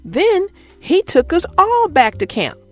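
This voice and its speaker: A woman narrating a children's picture book as an expressive, theatrical read-aloud. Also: very high voice, takes it slowly, soft background music.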